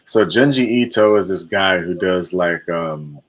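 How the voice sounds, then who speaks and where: Deep low-voiced dude, chill, just throwing out ideas in a loose casual chat. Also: male voice, unhurried. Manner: laid-back, brainstorming, loose phrasing.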